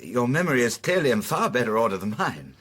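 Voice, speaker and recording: An actor playing a courteous, guarded middle-aged amateur detective in a radio drama, with a measured, wry manner. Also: male voice, mid-range voice, big pitch swings, fairly bright tone, breathy edge. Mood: polite, candid.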